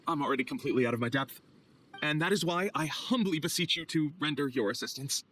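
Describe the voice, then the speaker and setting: A man's voice: fairly high pitch, fast talker, a bit bright. A man playing an earnest, overly polite knight's logistics clerk in a video game, formal and a little nervous. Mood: flustered, earnest.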